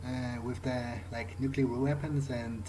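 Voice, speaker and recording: A man roleplaying in character as he plays a video game, thinking aloud in halting, musing phrases. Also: mid-range voice, level tone, noisy background. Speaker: gameplay streamer playing in character. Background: game music.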